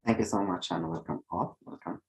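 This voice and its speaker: Deep gravelly-voiced man hosting a call. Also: fairly quick. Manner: brief, calls on each speaker in turn. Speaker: fellowship mentor and meeting host. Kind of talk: video call introductions. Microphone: video call.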